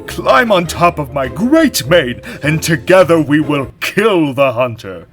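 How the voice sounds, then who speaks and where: A man voicing a vain, pompous male lion who speaks in a grand, drawn-out, melodramatic way. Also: fairly high pitch, very animated, over music, voice pushed up. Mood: contrite. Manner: grand, drawn-out, theatrical delivery.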